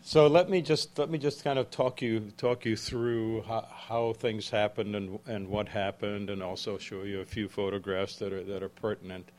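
A man in his seventies, from the Czech Republic, giving a book talk to a live audience in a halting way with frequent restarts and self-corrections. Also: lowish voice, fairly even intonation, a little mellow. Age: 70s. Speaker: author. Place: event room with an audience.

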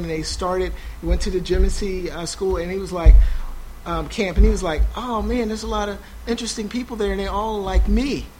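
A man speaking on a panel about his son's schooling, halting with many fillers and restarts. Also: high-pitched, a little mellow, slight background noise, low-quality recording. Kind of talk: personal testimony at a panel. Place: small intimate room. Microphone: no PA, recorded for a podcast.

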